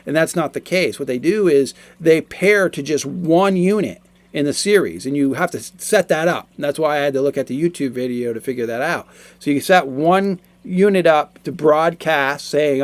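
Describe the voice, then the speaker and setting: A man in his forties giving a casual, explanatory product review, talking in a halting, repetitive way. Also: fairly high pitch, no room sound.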